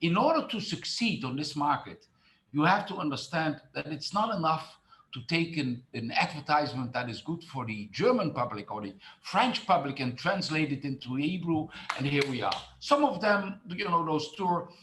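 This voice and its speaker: A man's voice: on the high side, lively intonation, normal speed, fairly bright tone, a little hiss or hum, low-quality recording. A middle-aged man from the Netherlands, a tourism marketing expert who speaks with many fillers and restarts in an online presentation.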